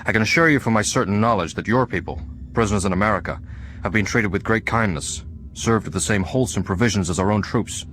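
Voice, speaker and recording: Man playing grave old-time statesman reading formal letters, deep voice, measured, radio drama. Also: a bit bright, a little hiss or hum, natural voice. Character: dignified, stern American statesman dictating formal letters.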